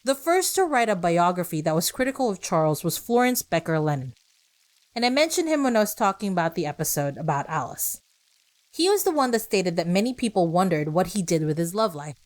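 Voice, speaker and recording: A woman's voice: fairly low pitch, sing-song, brisk. A woman podcast host reading scripted narration with personal asides, speaking conversationally and directly to her listeners.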